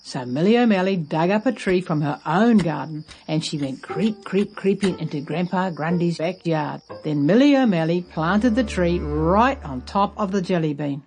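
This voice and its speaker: A woman narrating a children's story aloud as a storyteller, expressive and emphatic. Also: fairly low pitch, normal speed, hardly any pauses.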